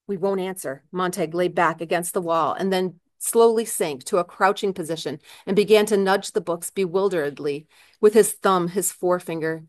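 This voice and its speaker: A woman's voice: fairly low pitch, normal speed, no echo. Woman narrating a novel, very dry sound. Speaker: reader, author. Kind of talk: expressive literary narration.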